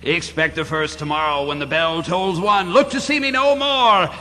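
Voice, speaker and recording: A tormented ghost of a dead miser in a live radio play, wails and declaims in a high, gravelly voice. The delivery is mournful and theatrical, with a sharp edge. It rings in a long hall reverb with music behind. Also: male voice, moderate pace. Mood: solemn warning. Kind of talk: live radio drama acting.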